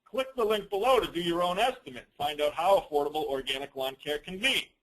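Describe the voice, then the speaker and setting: A man presenting a business training call as an informal, rambling software demo, with teasing, self-deprecating asides. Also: on the high side, animated, brisk, rough recording.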